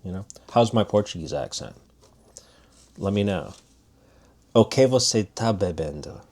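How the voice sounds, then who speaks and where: A man's voice: sits low, animated, unhurried, a little mellow. A man giving a loose, casual spoken language lesson, often restarting his sentences. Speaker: language teacher.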